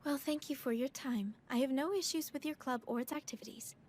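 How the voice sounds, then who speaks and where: An actress playing a polite, formal teenage student council president in an English anime dub. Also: female voice, sits high, brisk, darkish tone, slight background noise, natural voice.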